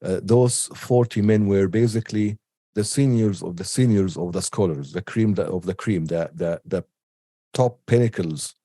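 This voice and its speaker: A man giving an unscripted online lecture with a cold, halting often with ums and restarts. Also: sits low, mellow, no echo. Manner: hesitant, many ums and restarts. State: has a cold. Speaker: teacher.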